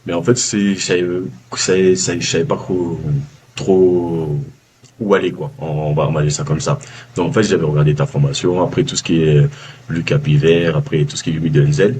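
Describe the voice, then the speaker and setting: A man with a Southern French accent, a former baker giving a casual testimonial, halting with many fillers and restarts. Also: a bass voice, steady tone, a little mellow, a little hiss or hum. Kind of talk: casual video-call testimonial. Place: calling from abroad, early morning.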